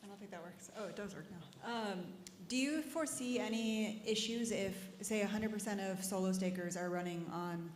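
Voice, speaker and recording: A woman's mid-pitched voice carries from the audience of a large conference hall. The sound is roomy, with a long reverb tail and faint background noise. She asks her question a little hesitantly. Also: moderate pace, few pauses. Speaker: audience member asking a question. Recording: distant voice echoing in a large hall.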